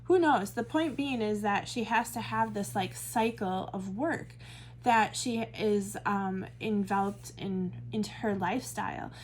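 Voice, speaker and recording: A woman's voice: mid-range voice, measured pace, slight background noise. A Midwestern American woman leading a Bible study, talking casually and thinking aloud with fillers and self-corrections. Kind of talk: casual teaching talk to camera.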